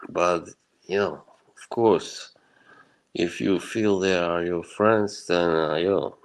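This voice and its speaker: A man's voice: sits low, slow pace, poor audio. A man from Bosnia, rambling and reassuring as he chats casually with viewers on his livestream and chuckles often. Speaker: livestream host. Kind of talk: casual chat with live viewers.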